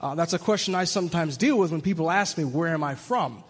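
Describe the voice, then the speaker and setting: Michigan-raised male preacher, higher register, earnest and building, big echoing hall. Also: brisk, breathy edge. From Michigan, USA. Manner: earnest, reflective, builds to emphatic. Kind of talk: sermon-style monologue. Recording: long hall reverb.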